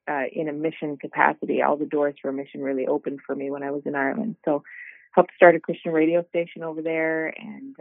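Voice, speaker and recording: A woman's voice: sits low, no room sound. A middle-aged woman talks about her path in a loose, easygoing way, full of small fillers. Her voice comes through a thin, tinny phone line, dry and close. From South Dakota, USA. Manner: relaxed, rambling storytelling with many fillers. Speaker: guest, ministry worker. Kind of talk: casual interview over the phone.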